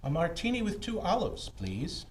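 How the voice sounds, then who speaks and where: A woman's voice: on the low side, big pitch swings, moderate pace. A woman playing a droll, fussy photographer sidekick in an old-time radio mystery, speaking in an arch, theatrical manner. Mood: arch, polite. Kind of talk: old-time radio detective play acting.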